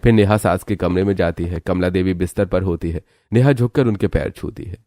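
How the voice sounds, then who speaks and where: A man's voice: low-pitched, a little fast, dark tone, a little hiss or hum. A man narrating a scripted cartoon family story.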